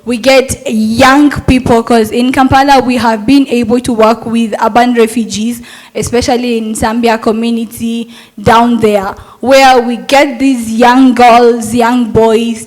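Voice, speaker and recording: A woman's voice: a little high, steady tone, measured pace, rough recording. A young adult woman, a Montessori teacher, giving an earnest, persuasive talk at a summit and asking rhetorical questions.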